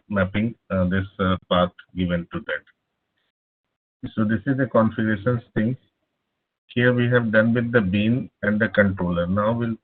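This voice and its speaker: A man teaching a live online programming class over a speakerphone, pausing often with "uh". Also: fairly low pitch. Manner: halting, many filler "uh"s. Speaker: programming instructor. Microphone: laptop speakerphone, no headset. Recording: tinny phone-like line.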